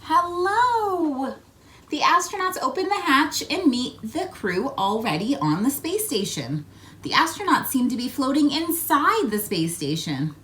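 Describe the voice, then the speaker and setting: A woman's voice: sits high, measured pace. A woman reading a children's picture book aloud, expressively, with coaxing questions and asides to young listeners. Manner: expressive storytelling, coaxing questions, acted-out sound words. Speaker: read-aloud host.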